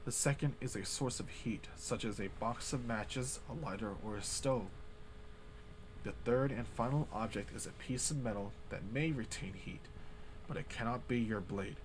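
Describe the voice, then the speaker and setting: A man voicing the unseen author of a grim ritual journal, reading slowly in a hushed, ominous low voice. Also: a little hiss or hum, lightly acted, low-quality recording.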